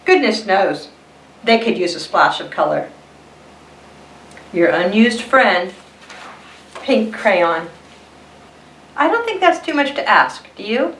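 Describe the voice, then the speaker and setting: Sassy, self-promoting crayon for a storybook, played by a woman; wide pitch swings, roomy echo. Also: big pitch swings, slow, pauses often, fairly bright tone, full character voice. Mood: indignant, pointed. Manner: sassy, pointed. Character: perky, indignant, self-promoting pink crayon. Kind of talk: children's storybook read-aloud. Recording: roomy echo, distant mic.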